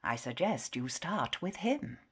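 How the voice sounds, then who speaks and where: A woman's voice: a bass voice, big pitch swings, unhurried, noisy background, full character voice. An actress playing a stern, knowing middle-aged mother at the opera in a radio drama, sardonic and foreboding. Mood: indignant, sardonic. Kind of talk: full-cast radio drama acting.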